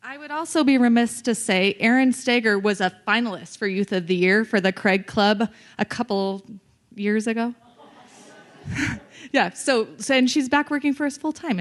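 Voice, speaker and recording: A woman hosts a youth awards event and cheers on the speakers in an upbeat way. Her voice sits a little high for a woman, and she sounds encouraging as she hands over to the next speaker. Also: normal speed. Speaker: event emcee.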